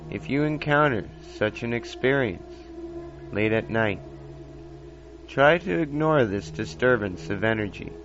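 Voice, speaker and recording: A man hosting a paranormal-style podcast, reading scripted narration in an old time radio manner with measured, dramatic, deliberate phrasing. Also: fairly low pitch. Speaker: podcast host. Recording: dull lo-fi basic equipment, music underneath. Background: music bed.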